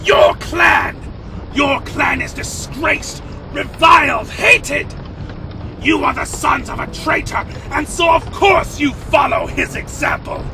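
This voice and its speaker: A hateful vampire commander, shouting theatrically in a very high, strained register with a gravelly snarl. He draws out his venomous threats over clear background noise. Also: expressive, slow pace, heavily acted. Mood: enraged. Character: furious, hateful vampire commander, vengeful and contemptuous. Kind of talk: audio drama villain acting.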